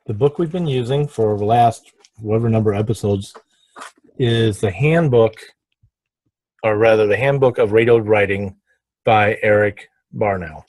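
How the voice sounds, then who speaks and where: A man hosting an informal writing lesson, halting and apologetic as he talks and reads aloud, often stumbling over his words. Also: lowish voice, a little mellow, no echo, low-quality recording. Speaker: host of a writing podcast. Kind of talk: informal lesson with passages read aloud from a book.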